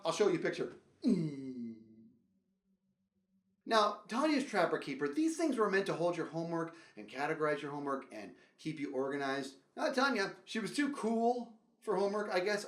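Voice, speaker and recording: A man hosting a comedic storytelling show, talking straight to viewers in a theatrical, exaggerated, emphatic way and repeating phrases. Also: sits high. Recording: roomy echo.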